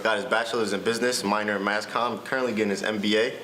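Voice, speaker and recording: Fast-talking young student interviewer. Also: male voice, fairly low pitch, fairly even intonation, fairly bright tone, roomy sound, slight background noise. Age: young adult. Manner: quick, informal questions. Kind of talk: casual interview hosting.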